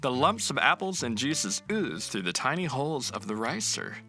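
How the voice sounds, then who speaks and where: A man narrating a children's picture book aloud in an expressive, storytelling way. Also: mid-range voice, normal speed, faint music behind.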